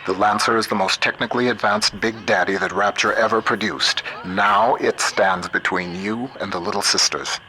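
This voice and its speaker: An actor playing a shrewd, principled American computer scientist in a video game, middle-aged, wry, measured and plain-spoken. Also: male voice, lowish voice, steady tone, bright, forceful tone, a little hiss or hum, rough recording. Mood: steady, guiding. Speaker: guide character. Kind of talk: video game voice acting, audio diaries and radio guidance.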